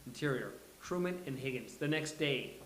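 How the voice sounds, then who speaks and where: A man's voice: medium pitch, lively intonation, normal speed, a bit bright, slight background noise. A man reading stage directions as the host of a live table read. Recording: roomy live recording.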